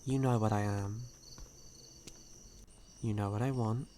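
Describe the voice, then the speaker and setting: A man playing a suave, sly, hungry male vampire in an ASMR audio roleplay, speaking in a teasing, drawn-out manner. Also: on the low side, steady tone, mellow, a little hiss or hum, lightly acted. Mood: menacing, coaxing. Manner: slow, drawn-out, teasing, with breathy chuckles.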